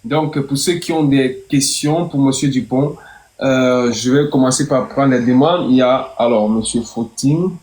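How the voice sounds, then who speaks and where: A man's voice: medium pitch, a little slow, darkish tone, reverberant, low-quality recording. A man hosting an online talk show, polite and hesitant, searching for his words. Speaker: host.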